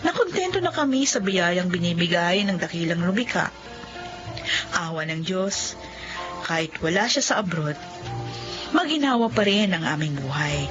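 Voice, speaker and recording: A woman's voice: lowish voice, very animated, moderate pace, long pauses, highs cut off, music in the background. An actress playing a young wife in a radio drama who tells her own story, with tearful, emotional outbursts. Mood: reflective narration. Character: young wife overjoyed her husband is home. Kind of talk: Filipino radio drama acting.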